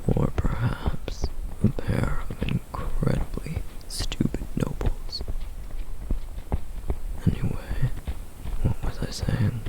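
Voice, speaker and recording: A man voicing a protective, duty-bound male flame demon in a whispered ASMR roleplay, hushed, measured and dryly sardonic. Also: mid-range voice, gravelly, rough recording. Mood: cautious.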